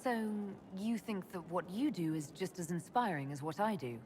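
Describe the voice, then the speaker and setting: An actress playing a composed, inquisitive heroine and leader in a video game, measured and direct. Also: female voice, lowish voice, lively intonation, a little mellow, lightly acted. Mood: skeptical. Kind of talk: video game dialogue acting. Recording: faint background noise.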